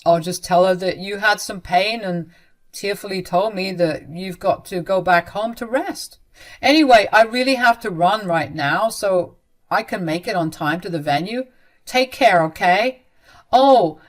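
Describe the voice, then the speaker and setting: A woman's voice: medium pitch, measured pace, lightly acted. A woman voicing a cold and dismissive young man, a son who speaks in a curt, matter-of-fact way.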